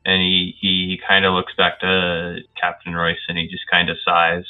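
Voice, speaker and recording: A man's voice: low voice, completely flat delivery, normal speed. A young man playing a tabletop game, chatting casually and describing what his character does. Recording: dull boxy audio, music behind.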